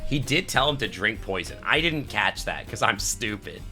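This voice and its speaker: A man's voice: fairly low pitch, lively intonation, normal speed, bright, forceful tone, faint music behind. A man livestreaming a game in a slangy, sweary, casual way as his voice tires. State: voice tiring, losing it. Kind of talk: casual reactions and reading game text aloud.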